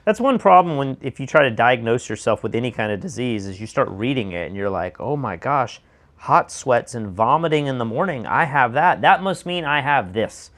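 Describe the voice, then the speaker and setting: An American man co-hosting a casual discussion show, speaking emphatically with a slightly raspy voice and throwing out rhetorical questions. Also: medium pitch, expressive, moderate pace, a bit bright. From United States. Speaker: show co-host.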